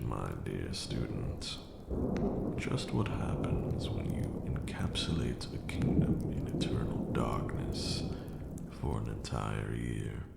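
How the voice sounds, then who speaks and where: An ancient vampire lord speaks in an extremely low, heavily creaking voice. He is brooding, grand and formal, hushed as in an ASMR roleplay, slowly telling dark tales of old. The sound rings in a long, echoey reverb. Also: noisy background, full character voice. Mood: bitter, remorseful. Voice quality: gravelly, breathy drops into near-whisper. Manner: slow, deliberate, hushed, formal archaic phrasing. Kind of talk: ASMR roleplay monologue, dramatic storytelling.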